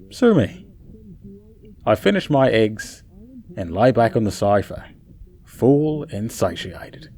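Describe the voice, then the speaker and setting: A man playing a chatty, bewildered narrator of an eerie first-person radio play, theatrical, with asides and dramatic pauses. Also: on the low side, dark tone, slight background noise. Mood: wry, relaxed. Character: chatty, bewildered man telling his own eerie tale.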